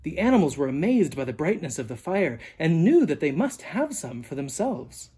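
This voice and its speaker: Husky, expressive children's storyteller. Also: mid-range voice. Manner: animated, deliberate storytelling with dramatic emphasis. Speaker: storyteller reading a children's book aloud, teacher. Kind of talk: expressive read-aloud narration for children.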